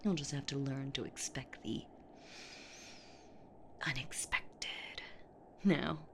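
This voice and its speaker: A woman's voice: a bass voice, takes it slowly, full character voice. A woman voicing a menacing, playful centuries-old boogeywoman in a horror audio roleplay, with a theatrical, teasing manner that often slips toward a whisper. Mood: sinister, relishing. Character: menacing, playful centuries-old female boogeywoman monster hunting her prey.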